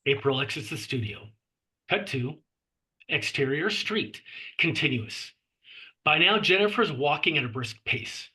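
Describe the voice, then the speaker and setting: A man's voice: on the high side, lively intonation, measured pace, bright, forceful tone, low-quality recording. A man reading stage directions as narrator at a script table read.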